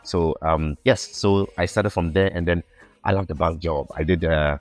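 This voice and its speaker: A man's voice: low-pitched, fairly even intonation, moderate pace, faint music behind. A young man from Ghana, a podcast guest telling his life story in an earnest, reflective way and often repeating words while he finds his phrasing. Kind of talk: casual interview storytelling.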